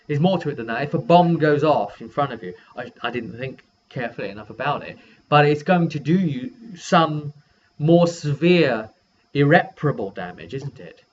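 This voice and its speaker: A man's voice: a little high, lively intonation, moderate pace. A man who works as a game master, casually recapping a role-playing session and thinking aloud in a rambling way. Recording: dull lo-fi sound with background noise.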